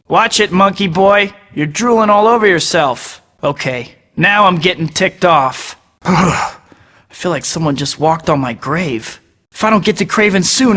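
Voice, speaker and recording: A man's voice: sits high, expressive, normal speed, pushed and bright, big-room sound, full character voice. An actor voicing a young male superhero who tosses out sarcastic taunts in a video game. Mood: muttering. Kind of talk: game voice clips.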